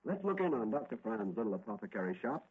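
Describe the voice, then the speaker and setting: A man's voice: lowish voice, sing-song, fast talker, slightly rough. A man announcing and narrating a radio serial in an emphatic, theatrical 1940s style. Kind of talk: 1940s dramatic scripted announcing. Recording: noisy lo-fi vintage radio recording.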